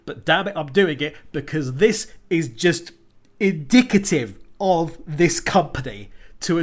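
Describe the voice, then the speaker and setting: Stuffed-up male radio host, irritated and rambling, raised voice, full of ums. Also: a little high. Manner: frustrated, sweary, rambling with many fillers. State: congested with a cold. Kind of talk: ranting podcast monologue.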